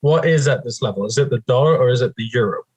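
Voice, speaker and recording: A man co-hosting a live trading chat, his voice slightly husky and breathy. He delivers dry, wry remarks and laughs easily, at a middle pitch. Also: lively intonation, fast talker, a little mellow. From Wales. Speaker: co-host.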